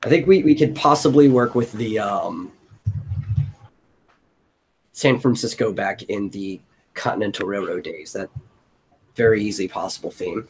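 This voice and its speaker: A man's voice: fairly low pitch, moderate pace, long pauses, slightly rough, rough recording. A man hosting a casual brainstorming chat, thinking aloud in a loose way. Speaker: host of a brainstorming stream. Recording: noticeable background noise.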